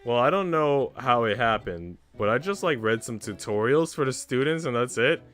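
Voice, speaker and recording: A man's voice: mid-range voice, animated, moderate pace, fairly bright tone. Casual slangy man streaming over music. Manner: informal, slangy, swearing. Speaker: livestreamer. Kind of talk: casual chat with stream viewers while reading aloud. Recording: music playing behind the voice. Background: background music.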